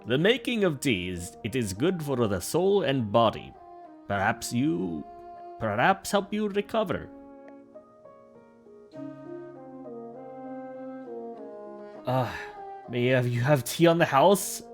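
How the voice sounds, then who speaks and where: A man voicing a courteous male tea shopkeeper with a put-on Russian accent, speaking softly and slowly as he coaxes a guest. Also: medium pitch, big pitch swings, gravelly, soft background music. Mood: coaxing. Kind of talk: reading game dialogue aloud.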